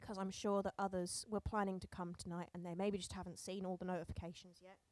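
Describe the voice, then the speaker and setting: A woman's voice: on the low side, little pitch movement, quick pace, no room sound. A woman musician chatting casually to viewers on her live stream, rambling a little and correcting herself as she thinks aloud. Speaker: streamer and singer-songwriter.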